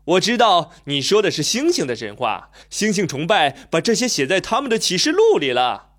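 A man's voice: high-pitched, huge pitch swings, normal speed, full character voice. A man playing an inquisitive young reporter in a radio drama, polite but sceptical, with a questioning manner. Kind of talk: Chinese radio drama acting.

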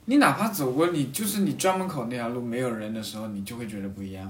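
A man's voice: medium pitch, fast talker. A man in a casual, reminiscing podcast chat, rambling and repeating phrases as he explains. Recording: roomy echo, off-mic, music behind.

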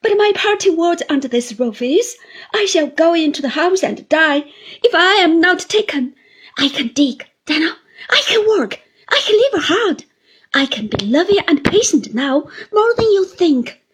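A woman's voice: sing-song, very slow, hardly any pauses, a little mellow, exaggerated voice. A woman doing a sobbing, clinging old woman. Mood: tearful pleading. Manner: high wailing pleas, breaking into sobs. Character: clinging, tearful older woman pleading desperately.

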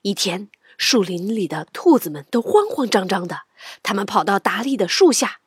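A woman narrating a children's audio drama in an expressive, dramatic storytelling manner. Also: medium pitch, moderate pace, husky, no echo, clean studio sound. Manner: dramatic, sometimes hushed storytelling. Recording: music behind the voice.

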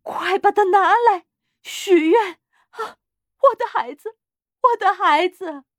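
Hysterical old wife acted by a woman narrator, high voice, frantic, breathless, fiercely demanding, storybook drama. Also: takes it slowly, no room sound, studio quality. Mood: feverish urging. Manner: shrieking, breathless, frantic urging.

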